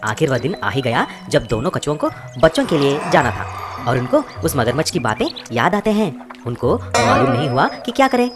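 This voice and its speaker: A woman narrating a children's cartoon story in an expressive storytelling way. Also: very deep voice, normal speed. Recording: music bed behind.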